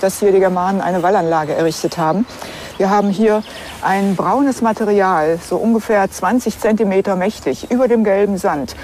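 A woman archaeologist talks through what can be seen on site. Her voice sits at an average pitch, with an explanatory manner. There is faint ambient noise behind her. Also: a little mellow. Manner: explanatory, pointing things out. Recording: faint outdoor background noise.

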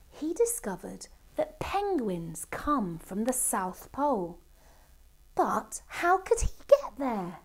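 A middle-aged woman reads a picture book aloud for children. Her voice sits a little high but stays soft and mellow. She takes her time, letting phrases hang with deliberate pauses. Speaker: storybook reader. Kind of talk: expressive children's storybook reading. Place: indoor room by a Christmas tree. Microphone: camera a short distance away.

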